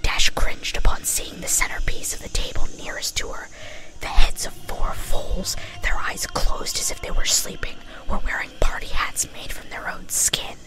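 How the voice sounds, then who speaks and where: A woman's voice: normal speed, poor audio. A woman narrating a story as a whispered ASMR reading.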